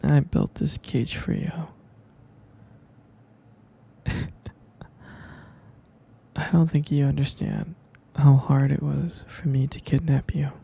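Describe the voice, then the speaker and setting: A man playing an obsessive, possessive kidnapper in an ASMR boyfriend roleplay, cooing slow, breathy, coaxing endearments to a captive lover. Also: mid-range voice, little pitch movement, mellow, heavily acted. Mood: possessive, tender. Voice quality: breathy, fry-laden murmur. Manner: slow, coaxing, saccharine pet names. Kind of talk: ASMR boyfriend roleplay, intimate close whispering.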